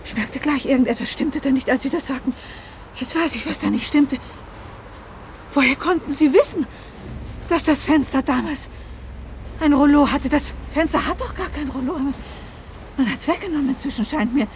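Casting: shrewd, agitated female amateur sleuth, high urgent voice, radio drama with light background noise. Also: normal speed, long pauses. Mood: puzzled, probing. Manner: urgent, emphatic, dramatic delivery. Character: crime novelist woman, shrewd, accusing, agitated amateur sleuth. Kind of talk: German radio drama acting.

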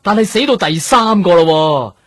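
A man's voice: sits high, lively intonation, unhurried, fairly bright tone, no echo, clean studio sound, exaggerated voice. A man with a Hong Kong Cantonese accent playing a blunt, exasperated police superior in a radio drama. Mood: scolding. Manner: colloquial, sighing, scolding.

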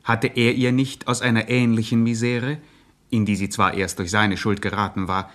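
Dry, pompous low-voiced male narrator. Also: little pitch movement, normal speed, a bit bright. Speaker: second narrator. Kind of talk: scripted satirical commentary in a radio play.

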